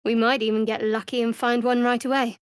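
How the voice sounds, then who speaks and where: A woman voicing a guarded, sardonic young cleric of a dark goddess in a video game, with a dry, measured, clipped delivery. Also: a little high, level tone, smooth, no room sound, lightly acted. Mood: musing. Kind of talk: video game voice acting, companion dialogue and battle barks.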